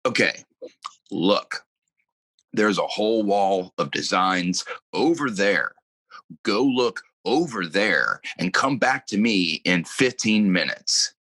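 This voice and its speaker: Man playing deep, blunt tattoo artist. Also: animated, slow pace, bright, forceful tone, dry recording, heavily acted. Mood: weary impatient. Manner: curt, unimpressed. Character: blunt, impatient male tattoo artist named Ed.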